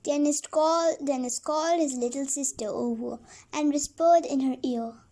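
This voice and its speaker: A woman reading a children's storybook aloud as a read-along, haltingly, repeating and correcting words as she goes. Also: high voice, mellow.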